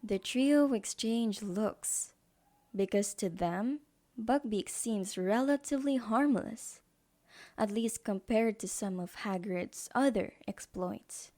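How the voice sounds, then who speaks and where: A woman's voice: medium pitch, slow pace, mellow. A woman narrating a scripted audio drama as its storyteller.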